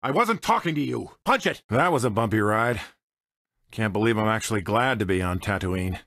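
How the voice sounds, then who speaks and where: A man's voice: fairly low pitch, animated, moderate pace, fairly bright tone, no echo. An American man playing a cocky, wisecracking space smuggler and pilot in a video game, with a dry, sardonic, swaggering manner. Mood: wry, cocky. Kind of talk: video game character voice clips. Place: dry studio recording.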